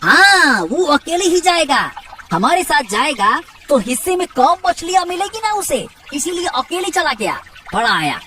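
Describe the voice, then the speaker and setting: A woman voicing an excitable, earnest young village fisherman in a Hindi cartoon, exclaiming and repeating words urgently. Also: high voice, a little slow, recorded from a distance, a little hiss or hum, heavily acted. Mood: grumbling. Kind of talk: Hindi cartoon moral story acting.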